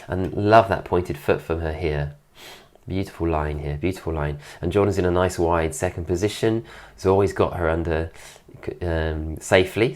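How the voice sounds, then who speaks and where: Man, very deep low voice, stuffy congested nose, getting over an illness, admiring relaxed video commentary. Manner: admiring, keeps praising details. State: recovering from illness, voice deeper than usual. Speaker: dance teacher reviewing a dance video.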